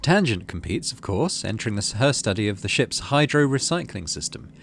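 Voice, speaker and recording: A man's voice: on the low side, big pitch swings, brisk, vocal fry, fairly bright tone, a little hiss or hum. A man giving casual let's play commentary, reading story text aloud and murmuring to himself as he decides. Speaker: gaming channel host.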